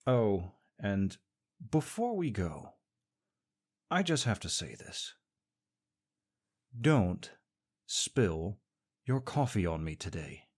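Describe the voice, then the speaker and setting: Smug, teasing man with low voice. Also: very animated, slow, long pauses, darkish tone. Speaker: voice actor playing a smug rival co-worker. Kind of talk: scripted audio roleplay.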